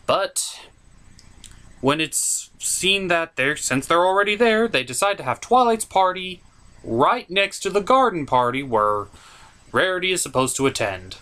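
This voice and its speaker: A man's voice: sits high, sing-song, unhurried, sharp tone. A man hosting a comedic cartoon review, talking through the episode as commentary.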